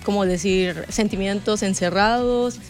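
A woman with a Salvadoran Spanish accent speaks as an interview guest over faint music. Her voice is mid-pitched, and her delivery is casual, chatty and giggly. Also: unhurried.

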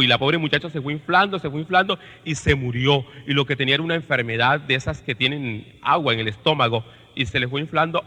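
A man's voice: fairly high pitch, bright, forceful tone. A man with a Colombian Caribbean accent preaching as a priest to his congregation, emphatic, repeating phrases and putting questions to listeners. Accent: Colombian Caribbean Spanish. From Colombia's Caribbean coast. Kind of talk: sermon-like talk to a congregation. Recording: long reverberation of a large hall.

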